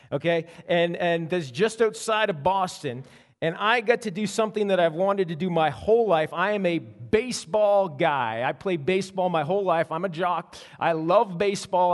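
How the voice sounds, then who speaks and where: A man preaches from the pulpit, his voice sitting high for a man. He tells a story in an animated way, often stumbling and restarting. The sound rings with long reverb, as in a large church hall. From Canada. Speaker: pastor. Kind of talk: sermon.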